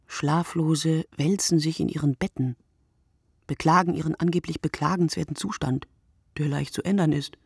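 A performer reading a literary radio play monologue in short, deliberate, reflective phrases. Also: mid-range voice, vocal fry, darkish tone, husky, no room sound, studio quality.

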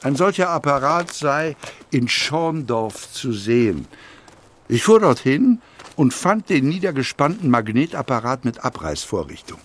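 An actor voicing a male industrialist who recalls his workshop years in a measured, formal memoir reading for an audio documentary. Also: mid-range voice, big pitch swings. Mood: measured, matter-of-fact.

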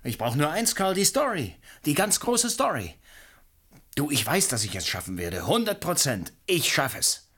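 An actor playing a cocky, ambitious newspaper reporter with a persuasive manner in a radio play. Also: male voice, a little high, very animated, moderate pace, bright, forceful tone, slightly rough, full character voice. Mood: fervent, boastful. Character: glib, ambitious, debt-ridden newspaper reporter.

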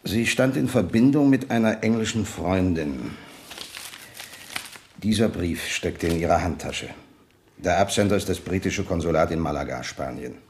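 An actor playing a polite, matter-of-fact police sergeant in a radio crime drama, measured and official. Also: male voice, low-pitched, expressive, a little mellow, slight background noise. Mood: inquiring.

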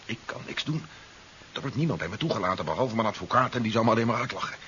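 An actor playing a bitter, stubborn general in a 1970s radio drama, grumbling and deliberate as he schemes. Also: lowish voice, animated, a bit bright. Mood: conspiratorial. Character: disgraced general, bitter, stubborn, scheming under house arrest. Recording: dull, hissy old radio recording.

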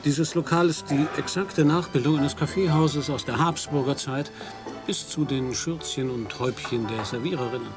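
A man's voice: medium pitch, moderate pace, lightly acted. An actor playing a polite, slightly hesitant male American radio reporter who narrates a radio play. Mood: narrating. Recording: radio play with music behind.

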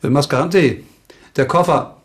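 An actor playing a wry, probing former doctor who works as a police investigator in a radio crime drama, dry and commanding. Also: male voice, a little high, measured pace, slight background noise, lightly acted. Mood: brisk, probing.

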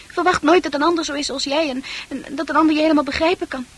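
Casting: woman to play dreamy, troubled young female confidante for Dutch radio drama, high-pitched, poetic and earnest. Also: steady tone, fast talker, lightly acted. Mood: pensive, intense.

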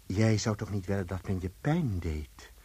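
Creepy fatherly official, low husky voice, slow and polite, radio drama. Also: animated, warm tone, full character voice. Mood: paternal, menacing. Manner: condescending, polite, deliberate. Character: paternal, sinister official with smooth courtesy. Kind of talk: Dutch radio play acting.

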